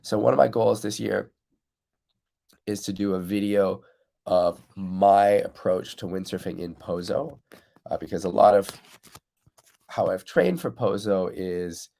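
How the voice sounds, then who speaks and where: A man's voice: on the low side, a little slow, gravelly, pauses often, dark tone. A man hosting a live windsurf coaching stream, chatting casually and answering viewers' comments while still congested from losing his voice. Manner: reads out viewer comments and answers them directly.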